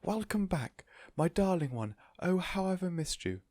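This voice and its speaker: A man's voice: sits high, big pitch swings, measured pace, a little mellow, no room sound, studio quality, voice pushed up. A man voicing a sweet, gushing woman who dotes on her pet, in a storybook reading. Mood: adoring, joyful. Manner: gushing, effusive, exclaiming. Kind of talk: storybook character voice in audiobook reading.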